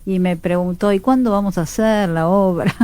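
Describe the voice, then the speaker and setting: A woman's voice: medium pitch, normal speed, mellow, no echo. A mature Argentine woman speaks with a Buenos Aires accent. She is a guest in a radio interview and talks in a casual, conversational style, as an experienced performer would among colleagues. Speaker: guest, voice actress and radio drama producer.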